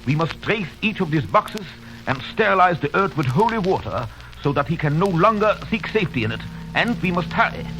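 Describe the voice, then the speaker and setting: An actor playing a grave, commanding professor who hunts vampires in an old radio drama, speaking with solemn urgency. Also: male voice, sits high, big pitch swings, brisk, over music, rough recording. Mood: urgent. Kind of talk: 1930s radio drama acting.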